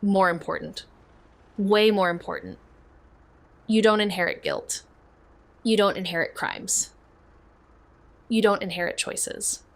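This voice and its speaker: A woman's voice: mid-range voice, a little slow, creaky, long pauses. Actress as a loyal, level-headed young female investigator; frank, grounded delivery. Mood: steady. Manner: steady, protective, frank. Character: loyal young woman sleuth, calm, protective. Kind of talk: audio drama acting.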